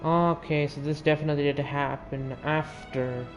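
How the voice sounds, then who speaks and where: A man's voice: on the high side, fairly even intonation, moderate pace. A teenage young man giving casual, offhand gameplay commentary, muttering and sometimes sweary. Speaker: gamer, video maker. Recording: game music behind the voice.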